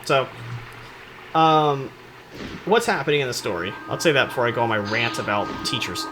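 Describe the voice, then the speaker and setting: A man's voice: medium pitch, expressive, moderate pace, background noise. A man hosting casual comedic commentary on game cutscenes, joking and rambling in slangy speech. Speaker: video host.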